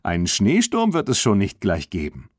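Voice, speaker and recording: A man voicing a cheerful, carefree teenage girl, brisk and confident, in a children's audiobook. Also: lowish voice, huge pitch swings, no room sound, clean studio sound.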